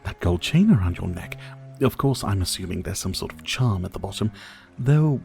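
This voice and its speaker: A man's voice: low voice, expressive, normal speed, gravelly, a little mellow, a little hiss or hum. A man playing a sadistic, courtly vampire lord in an ASMR roleplay, speaking in a silky, formal, teasing and theatrical manner. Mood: smooth, menacing. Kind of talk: ASMR roleplay, dramatic monologue to listener.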